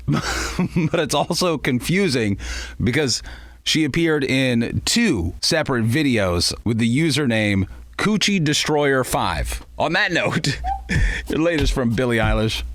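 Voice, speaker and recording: A man's voice: mid-range voice, expressive, takes it slowly, a bit bright, no echo. An American man working as a radio DJ, talking in a casual, chatty, teasing way between songs. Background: music beds behind the voice.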